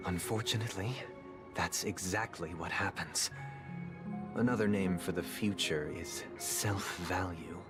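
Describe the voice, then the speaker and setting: An actor playing a solemn, disillusioned man in a video game, speaking in measured, formal, philosophical oratory. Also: deep voice. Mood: grave, resolute. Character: solemn, disillusioned adult brother, an eloquent idealist turned cynic. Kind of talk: scripted video game drama. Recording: loud music behind the voice. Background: music.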